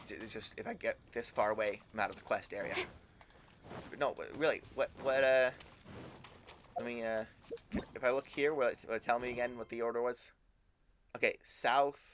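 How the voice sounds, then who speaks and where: A man's voice: mid-range voice, steady tone, moderate pace, long pauses, a bit bright, slight background noise. A man giving casual live commentary as a game streamer, often stammering and repeating words as he thinks aloud.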